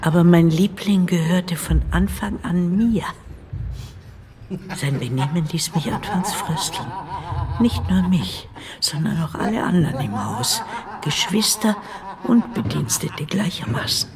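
An older woman playing the ghost of a nanny in a radio drama, breathy and often half-whispering, measured and intimate, tender yet bitter. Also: on the low side, lively intonation, crowd noise. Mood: quietly bitter. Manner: measured, hushed, intimate delivery. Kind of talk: literary radio drama monologue. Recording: music bed behind the voice.

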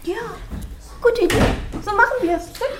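A woman's voice: very high-pitched, normal speed. A woman playing a caring but firm primary school teacher in a children's radio play. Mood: concerned. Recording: echoey room, noisy background with clicks.

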